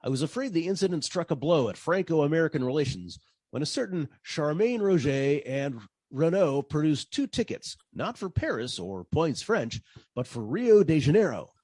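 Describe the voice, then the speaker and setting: A man's voice: fairly high pitch, very animated, moderate pace, darkish tone, no echo. A man playing a wry, wisecracking 1940s private detective, narrating his case in old-time radio style. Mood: wry narration. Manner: dry, wisecracking.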